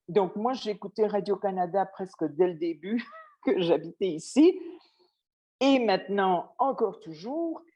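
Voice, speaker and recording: A woman's voice: on the low side, dark tone. An older woman from Belgium with a Belgian French accent, speaking thoughtfully in an interview and often restarting her phrases. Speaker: interviewee, former radio worker. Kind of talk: reflective interview talk.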